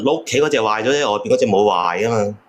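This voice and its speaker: A man's voice: lowish voice, animated, quick pace. A man calling a live show as an aggrieved husband, arguing in a crude, accusing, repetitive way over a noisy line. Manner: accusing, crude, repeats himself. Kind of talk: argumentative live call-in talk.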